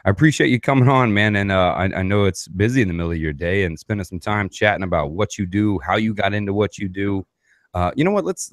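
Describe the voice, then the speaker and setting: A man with a very low voice hosts a casual podcast, wandering through his thoughts and tossing in "man" often. The sound is dry and close, with no room around it. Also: no echo. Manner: rambling, says "man" a lot. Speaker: podcast host. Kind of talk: casual interview.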